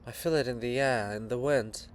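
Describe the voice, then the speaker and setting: A man voicing a troubled princess monument, soft, grave and earnest, in a dramatic reading. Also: medium pitch, moderate pace, a little hiss or hum, pitched below the performer's own voice, natural voice. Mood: afraid, grave.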